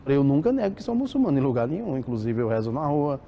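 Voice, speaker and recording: A man giving a halting, reflective testimony as an interviewee. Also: medium pitch, very animated, lo-fi sound, a little hiss or hum. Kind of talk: recorded testimony.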